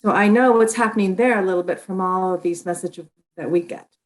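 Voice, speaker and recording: A woman's voice: mid-range voice, a little fast. A middle-aged woman, an author being interviewed, talking earnestly in a conversational way with frequent restarts.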